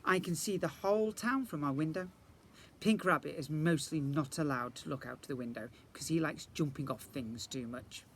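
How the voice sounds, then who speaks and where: A man's voice: on the high side, normal speed, a bit bright. A man narrating a children's storybook like an infant school teacher, expressively and directed at young listeners. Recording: faint background noise.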